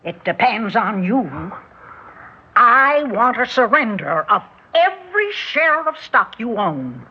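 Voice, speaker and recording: Husky, cutting American matriarch, imperious and insistent, animated pitch, 1960s radio drama. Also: female voice, slow pace, exaggerated voice. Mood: commanding. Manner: commanding, sharp, insistent. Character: imperious, steely aunt who commands the family. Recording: dull 1960s radio recording.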